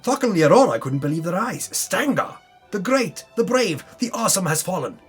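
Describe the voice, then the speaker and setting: Theatrical, higher-pitched man narrating mock-epic fantasy over music. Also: sing-song, moderate pace, breathy edge. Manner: theatrical, grandiose, with dry tongue-in-cheek asides. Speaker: narrator recapping a tabletop campaign. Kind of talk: dramatic reading, mock-epic scripted narration. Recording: music under the voice. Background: background music.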